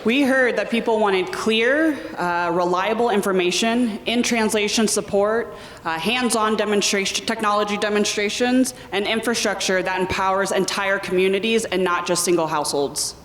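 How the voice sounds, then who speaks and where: A woman's voice: mid-range voice, moderate pace. A woman giving an earnest civic presentation and listing what was heard. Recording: roomy hall reverb, faint noise.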